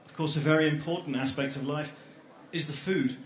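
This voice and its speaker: A man's voice: on the high side, brisk, a little hiss or hum. A middle-aged man co-presenting at an education fair, rambling and joking with lots of ums. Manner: jokey, rambling, with many fillers. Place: large echoey exhibition hall. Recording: echoey hall.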